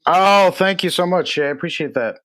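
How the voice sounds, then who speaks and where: A man from Texas, a musician guest, giving casual interview answers in halting, hesitant phrases. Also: sits high, a bit bright, dry recording. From Texas, United States. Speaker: singer-songwriter guest.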